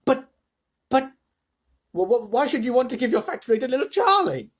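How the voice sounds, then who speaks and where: A storyteller voicing an astonished, excitable old grandfather with stammering exclamations in a storybook reading. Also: mid-range voice, normal speed, husky, pitched above the performer's own voice, full character voice.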